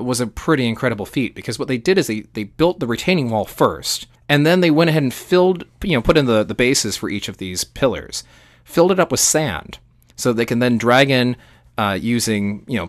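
A man's voice: mid-range voice, no echo, clean studio sound. A man co-hosting a podcast, explaining history in an enthusiastic, conversational and emphatic way. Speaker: podcast co-host.

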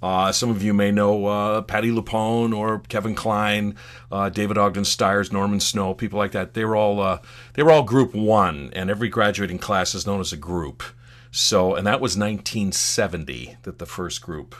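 Older man with a low, wry voice. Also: moderate pace, fairly bright tone. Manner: wry, theatrical, self-mocking phrasing. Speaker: podcast guest, veteran theater actor. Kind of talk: casual humorous interview talk.

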